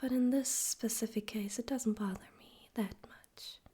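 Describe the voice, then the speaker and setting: A woman plays a demon overlord of hell in a whispered ASMR roleplay, soft and husky. The delivery is very slow and deliberate, with a teasing, condescending amusement and quiet menace. The tone stays dark and mellow. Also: fairly high pitch, fairly even intonation. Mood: haughty amusement. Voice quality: breathy whisper.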